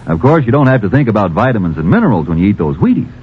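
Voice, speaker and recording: A man's voice: lowish voice, very animated, very fast, a little hiss or hum. An American man announcing a 1950s radio advertisement in a folksy, emphatic sales style. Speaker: commercial announcer. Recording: muffled vintage radio broadcast.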